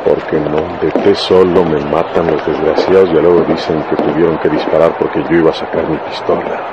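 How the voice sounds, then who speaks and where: An actor playing a brave, mocking rural detective in a radio drama, with a folksy Mexican accent. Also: male voice, extremely low pitch, little pitch movement, fairly quick, lo-fi sound. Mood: wary muttering. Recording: noisy old radio drama, street traffic effects.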